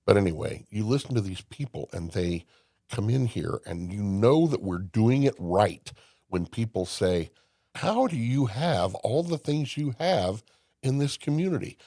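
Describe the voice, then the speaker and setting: Older man from Indiana, low gravelly voice, folksy storyteller, dry close mic. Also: animated, measured pace, warm tone, no echo. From Indiana, USA. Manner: folksy storyteller, emphatic, with restarts. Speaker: podcast guest. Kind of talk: casual conversation.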